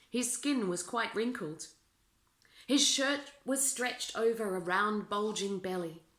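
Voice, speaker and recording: A woman reads aloud in a medium-pitched voice. She tells rhyming children's verse expressively, like a storyteller at home with her listeners. The recording is roomy, with noticeable echo. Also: fairly bright tone. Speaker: storyteller reading a Christmas poem aloud. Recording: roomy, echoing indoor sound.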